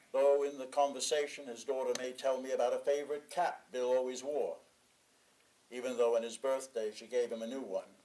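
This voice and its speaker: A man reading a written sermon aloud, with measured, deliberate phrasing and pauses between phrases. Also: mid-range voice, dark tone, low-quality recording. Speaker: sermon reader. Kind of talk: sermon read from a written text.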